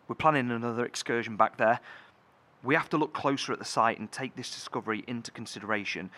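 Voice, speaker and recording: A man acts out a dutiful, careful leader of an underground bunker in a horror audio drama. His voice is mid-pitched and forceful, with a measured, responsible manner. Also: natural voice.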